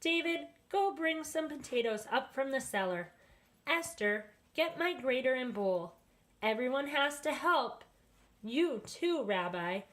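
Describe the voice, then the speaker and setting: A woman voicing a kindly old grandmother in a children's story, fond and gently scolding. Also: high-pitched, animated, unhurried, pitched above the performer's own voice. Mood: cheerful. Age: older. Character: kindly, hospitable old grandmother, chuckling and fussing. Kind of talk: children's storybook read-aloud.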